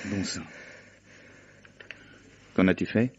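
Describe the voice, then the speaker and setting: Bossy fed-up young guy, lowish rough voice, radio mystery, dull muffled audio. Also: steady tone, slow, exaggerated voice. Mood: low, calculating. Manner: exasperated, commanding, insistent. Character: impatient, domineering young man, pressing his nervous friend. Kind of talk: French radio mystery play acting.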